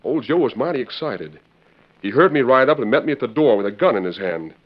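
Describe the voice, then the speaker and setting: Man playing an earnest accused cowboy, animated voice. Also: mid-range voice, a little fast, lightly acted. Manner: earnest, plainspoken. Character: earnest, wrongly accused young western man. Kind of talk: 1940s radio western acting. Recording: dull old radio recording.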